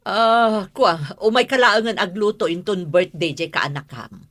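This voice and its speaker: A woman's voice: medium pitch, normal speed, fairly bright tone, close to an everyday voice. A woman with an Ilocano accent playing a bossy, affectionate older sister in a radio drama. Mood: brisk, questioning. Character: bossy yet affectionate older sister asking her brother favours.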